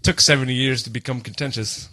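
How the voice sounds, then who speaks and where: Bright-voiced man bantering on a podcast. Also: mid-range voice, steady tone, fast. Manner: jokey, slangy, breaks into snatches of song. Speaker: podcast co-host who is also in a band.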